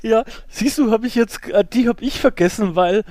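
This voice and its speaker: A man's voice: high-pitched, moderate pace, heavy background noise. A man co-hosting a podcast in informal chat, speaking in short bursts with joking asides. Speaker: podcast co-host.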